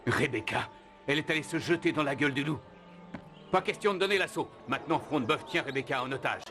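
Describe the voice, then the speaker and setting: A man's voice: fairly high pitch, quick pace, over music, lightly acted. A man voicing a brave, commanding knight hero in a cartoon dub. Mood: stern, alarmed. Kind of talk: French-language cartoon dubbing.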